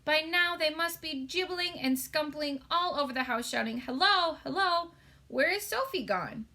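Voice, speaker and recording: A woman's voice: high-pitched, moderate pace, sharp tone, pitched above the performer's own voice. A woman voicing a huge, kindly, tearful male storybook giant with childlike, mangled folksy grammar and tender exclamations. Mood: concerned.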